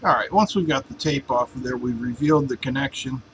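A man's voice: medium pitch, a little fast, noisy background. A man in a home workshop explaining a welding torch swap in a casual, joking, folksy way. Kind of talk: casual workshop how-to narration.

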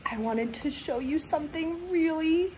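A woman in her twenties reading a storybook aloud to young children, talking in simple, sing-song, animated phrases. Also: sits high, unhurried, a little hiss or hum, poor audio. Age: 20s. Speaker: teacher reading aloud to pupils.

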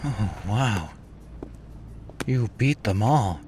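A man's voice: fairly low pitch, lively intonation, very slow, a little mellow, a little hiss or hum. A man voicing a timid, grateful young man in a comic dub. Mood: nervous.

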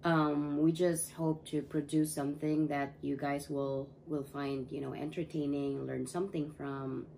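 A woman's voice: low voice, little pitch movement, measured pace, creaky, warm tone, slight background noise, poor audio. A woman in her thirties from the Philippines, chatting casually on a couch in her living room about the family channel, with many fillers and restarts. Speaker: family vlogger.

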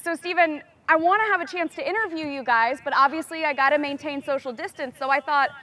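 A woman's voice: high voice, fast talker, sharp tone. A young woman doing a casual, playful interview as a team reporter, chatty and upbeat, outdoors. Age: young adult. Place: outdoors in stadium stands.

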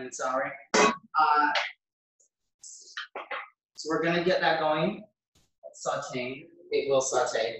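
A man's voice: mid-range voice, pushed and bright. An American man in his fifties presents a cooking demonstration in an informal, chatty way. He thinks aloud as he works. His voice is picked up from a distance in a very reverberant kitchen. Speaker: chef hosting a cooking demonstration. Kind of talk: casual live cooking demonstration. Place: home kitchen, indoors. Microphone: camera a few metres away. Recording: very echoey kitchen, distant microphone.

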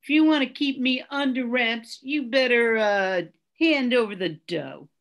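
An older woman playing a tough, bitter, blackmailing motel clerk with sardonic, drawn-out delivery in a radio crime drama. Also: fairly high pitch, a bit bright. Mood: threatening. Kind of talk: 1940s radio drama acting. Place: home room, video call. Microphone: computer mic on a video call.

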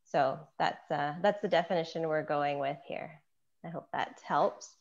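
A woman hosting a panel over a video call, speaking in a thoughtful, measured way through an earbud headset. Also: sits low, creaky. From Kenya. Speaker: panel host. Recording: dull lo-fi call audio.

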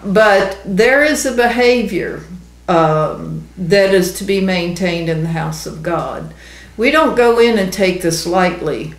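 An older woman, in her sixties, speaks with a medium-pitched voice. She teaches scripture conversationally, stressing her points earnestly. The room adds a clear echo. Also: animated, slow pace. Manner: earnest, emphatic. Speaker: ministry co-host, Bible teacher. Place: small indoor room. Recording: roomy, echoey indoor sound.

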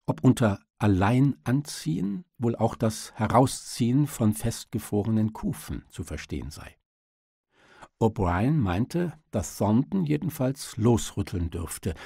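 A man's voice: mid-range voice, gravelly, warm tone, slightly rough, no room sound, studio quality. A man narrating an audiobook, reading scripted literary prose.

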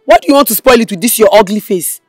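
An actress playing a sharp-tongued young woman with a Nigerian accent, dismissive and scornful. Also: mid-range voice, expressive, fast talker, slightly rough, no room sound, clean studio sound, faint music behind. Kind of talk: film acting.

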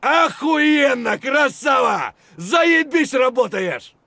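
An actor playing an aggressive, foul-mouthed Russian soldier barking urgent, coarse shouts in a military shooter game. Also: male voice, extremely high pitch, lively intonation, slow pace, creaky, no echo, full character voice. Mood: urgent, shouting.

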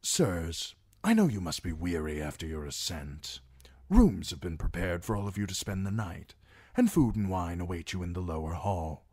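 Man playing a low-voiced, soft-spoken courteous lord. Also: big pitch swings, breathy edge, dry recording, studio quality, full character voice. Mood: courteous, unruffled. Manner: mild, apologetic, measured.

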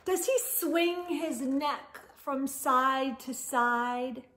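A woman, about forties, hosting storytime and reading a picture book to young children with expressive, drawn-out emphasis. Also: high voice, slight background noise. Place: indoors, small room lined with bookshelves.

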